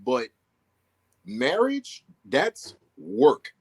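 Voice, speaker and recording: A man's voice: mid-range voice, breathy edge. A man in his thirties hosting a casual podcast chat, jumping in and teasing his guests. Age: 30s. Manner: lively, teasing, quick to jump in. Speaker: podcast host.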